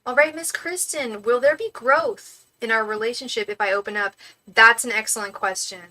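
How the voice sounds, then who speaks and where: Bright-voiced woman chatting casually on a live stream. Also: on the high side, lively intonation, moderate pace. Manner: rambling, effusive, girlfriend-like familiarity. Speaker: tarot reader hosting a live stream.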